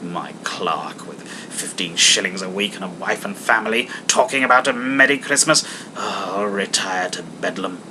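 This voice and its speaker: A man's voice: low voice, lively intonation, normal speed, background noise. A man voicing a cold, scornful old miser in an audiobook story, curt and sardonic. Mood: muttering scorn. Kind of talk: dramatized audiobook character voice.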